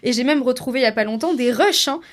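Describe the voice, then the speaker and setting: Young woman, higher voice, casual podcast chat, informal slang, encouraging manner. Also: quick pace. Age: young adult. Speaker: content creator on a podcast.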